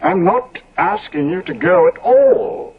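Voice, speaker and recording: Radio play: jaded ageing lord, urbane and wry, lively inflection, narrow phone-like old sound. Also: male voice, extremely high pitch, slow pace, creaky, slightly rough, heavily acted. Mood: weary, wry. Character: weary, ageing, decadent English aristocrat, worldly and wry. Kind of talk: 1970s radio drama acting. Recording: tinny old radio recording, crowded background.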